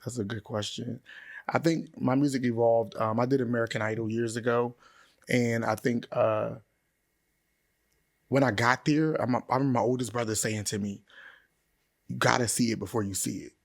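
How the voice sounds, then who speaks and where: A middle-aged man speaks as a musician being interviewed. His pitch sits in the middle range. He talks in a casual, colloquial storytelling way. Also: fairly even intonation, moderate pace, gravelly, pauses often. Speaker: interview guest, singer-songwriter.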